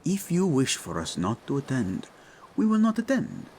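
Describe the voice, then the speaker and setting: A man's voice: mid-range voice, wildly swooping pitch, darkish tone, a little hiss or hum. An actor playing a devoted, teasing king and husband in an intimate romantic audio roleplay, speaking tender endearments. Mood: reassuring. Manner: tender, playful, unhurried endearments.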